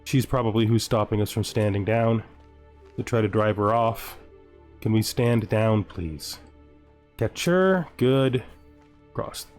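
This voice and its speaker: A man's voice: fairly low pitch, slow pace, long pauses, darkish tone, soft background music. A man hosting a gaming video, thinking aloud in casual commentary and playfully gloating with in-character asides.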